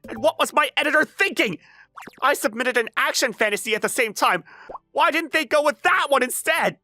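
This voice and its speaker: An exasperated high school boy acted by a man with a highly animated, swinging delivery. He is a flustered, introverted young writer from an anime comic dub who lectures dramatically, with music playing underneath. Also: brisk, no echo, studio quality, full character voice. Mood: exasperated, frantic. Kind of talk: anime-style comic dub acting with narration.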